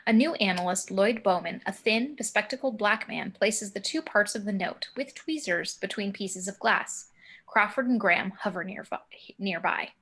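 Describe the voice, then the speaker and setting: Bright-voiced woman narrating screenplay action. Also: medium pitch, moderate pace. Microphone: home video call.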